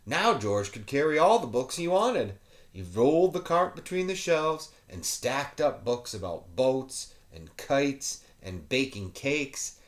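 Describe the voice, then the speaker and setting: A man's voice: medium pitch, very animated, takes it slowly. A man reading a children's picture book aloud expressively, with playful asides to young listeners. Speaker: children's story reader, teacher.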